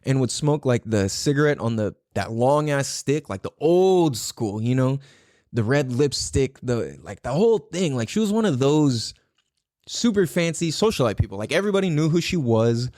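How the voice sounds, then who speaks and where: A man's voice: mid-range voice, big pitch swings, moderate pace, darkish tone, dry recording, clean studio sound. A man hosting his own podcast in a casual solo talk, swearing freely, laughing often and repeating words for emphasis. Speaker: podcast host.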